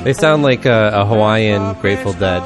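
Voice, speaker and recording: A low-voiced man hosts a loose, casual conversation. He explains in a rambling way, stumbling and restarting often. A song plays clearly behind his voice. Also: moderate pace, over loud music. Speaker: podcast host. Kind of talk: casual chat. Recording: talk over a song playing.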